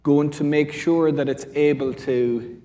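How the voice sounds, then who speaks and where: A man's voice: fairly high pitch, fairly even intonation, measured pace, mellow. A man with an Irish accent answering as a panel speaker from a business school, discursive and often rephrasing mid-sentence.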